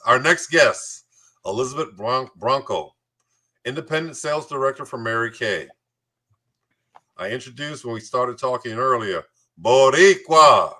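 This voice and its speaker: A man's voice: mid-range voice, pushed and bright. A man hosting a community talk show, moving between scripted introductions and playful, jokey banter.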